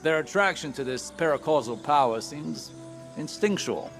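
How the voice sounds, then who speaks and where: An actor playing a thoughtful male researcher of strange powers in a video game, speaking in a measured, precise, musing way. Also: on the high side, expressive, faint music behind. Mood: curious, musing. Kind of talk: video game cutscene acting.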